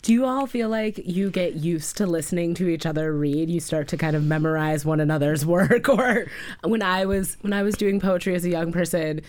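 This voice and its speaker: A woman's voice: fairly low pitch, normal speed, darkish tone. A woman interviewer, also a writing teacher, asking thoughtful, probing questions in a casual way.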